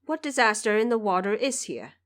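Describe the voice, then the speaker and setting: A woman's voice: mid-range voice, sing-song, fairly quick, a bit bright. A woman voicing a bold, loyal male courtier addressing an emperor in an audio drama. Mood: reassuring.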